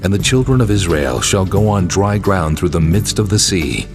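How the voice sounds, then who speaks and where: An actor playing God in an audio drama, giving solemn, commanding pronouncements. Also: male voice, deep voice, animated, normal speed. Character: God, commanding male divine voice. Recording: voice over music.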